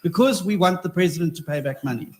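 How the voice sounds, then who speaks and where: A man with a high-pitched voice gives a formal speech in a parliamentary debate. He is measured and procedural, and he addresses the chair again and again. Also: a little mellow. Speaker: opposition member of parliament.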